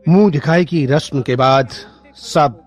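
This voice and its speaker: A man's voice: fairly high pitch, takes it slowly. A man reading scripted narration for a moral story. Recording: music bed behind the voice.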